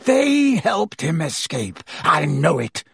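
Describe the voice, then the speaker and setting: A bitter, enraged schoolmaster who roars his accusations, drawing the words out slowly and venomously, as a male reader acts him out. The delivery is lively and the recording dry and close. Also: a little high, creaky, slightly rough, dry recording, voice pushed up, heavily acted. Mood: raging. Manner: roaring, slow and venomous. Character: bitter furious male potions teacher.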